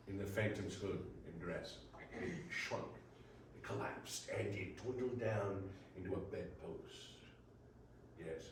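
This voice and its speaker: A man giving scripted storytelling narration as narrator of a readers theater piece. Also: on the low side. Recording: very echoey room, distant mic, faint noise.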